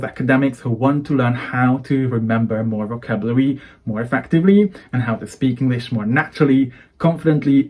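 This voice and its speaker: A Hungarian-accented man coaching English learners straight to camera. His voice is mellow and dark, his delivery earnest and persuasive, stacking up one phrase after another as he makes his case. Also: medium pitch, breathy edge. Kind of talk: talking-to-camera lesson.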